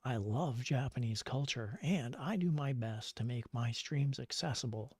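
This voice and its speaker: A man's voice: medium pitch, lively intonation, normal speed, a little mellow, husky, dry recording. An older man, chatting casually on his livestream in a gushing way, with lots of fillers. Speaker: streamer.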